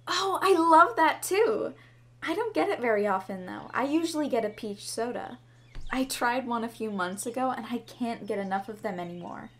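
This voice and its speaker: A woman's voice: on the high side, lively intonation, normal speed, natural voice. A woman playing a shy, sweet schoolgirl with a crush in an ASMR roleplay, speaking in a bashful, hesitant, rambling way. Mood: friendly, eager. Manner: bashful, hesitant, chatty, giggly. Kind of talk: ASMR roleplay, scripted to the listener. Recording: clearly audible background ambience noise.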